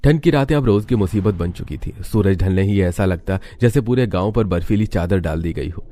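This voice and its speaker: Mellow, low-voiced man narrating a story. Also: fairly quick.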